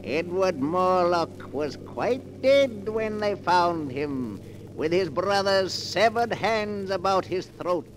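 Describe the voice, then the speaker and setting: An actor playing a sinister, cackling old keeper of a book of horror tales, theatrical and gleefully menacing in 1940s radio style. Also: male voice, high-pitched, expressive, slow pace. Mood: ghoulish relish. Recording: noisy 1940s radio recording.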